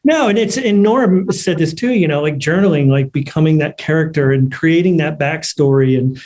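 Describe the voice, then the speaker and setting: A man with a mellow, slightly high voice for a man. He moderates a panel casually, handing questions to the guests. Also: moderate pace.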